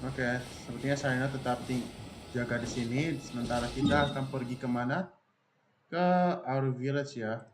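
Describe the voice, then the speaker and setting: A man's voice: medium pitch, little pitch movement, brisk, background noise. A man with an Indonesian accent giving casual, slangy live gameplay commentary as a streamer. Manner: informal, slangy. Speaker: livestreaming gamer. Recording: roomy echo, loud game music behind. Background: game music.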